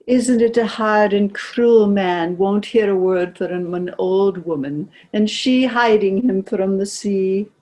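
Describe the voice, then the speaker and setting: A woman's voice: medium pitch, slow, hardly any pauses, warm tone, close to an everyday voice. A woman playing a fretful, grieving old peasant mother in a stage play, plaintive. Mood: pleading, anxious. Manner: lamenting, plaintive. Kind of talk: stage drama read as virtual play.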